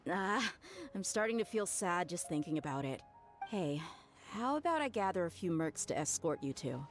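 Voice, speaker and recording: A woman's voice: lowish voice, very animated, moderate pace, soft background music. A woman playing a confident, easygoing, teasing young mercenary in a video game.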